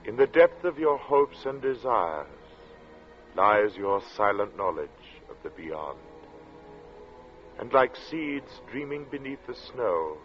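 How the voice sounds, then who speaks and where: An actor playing an earnest narrator of a strange tale, speaking in a measured, theatrical 1940s radio drama style. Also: male voice, on the low side. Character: earnest male narrator recounting a strange encounter. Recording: muffled vintage radio recording with music.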